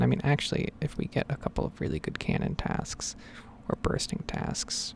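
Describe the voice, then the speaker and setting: A man giving hesitant, rambling game commentary in a soft-spoken, whispered ASMR style. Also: medium pitch, vocal fry, slight background noise. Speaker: gaming video host.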